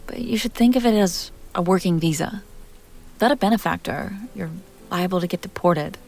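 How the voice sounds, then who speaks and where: A breathy, husky vampire heiress, acted by a woman, speaks intimately to the listener in a roleplay audio drama. She is refined, coy and possessive, teasing in soft, deliberate phrases that often sink into a whisper. Faint music plays behind her. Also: on the low side. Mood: polite, explaining.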